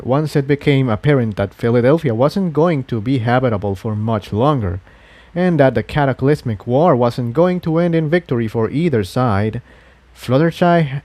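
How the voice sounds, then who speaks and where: Guy narrating an adventure fanfic, average pitch, dry close sound, scripted story reading. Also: a little mellow, dry recording. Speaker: fan fiction narrator.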